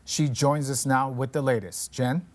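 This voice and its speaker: A man's voice: mid-range voice, fairly even intonation, a little slow, background noise. A man anchoring a TV newscast from a studio with scripted delivery. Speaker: news anchor.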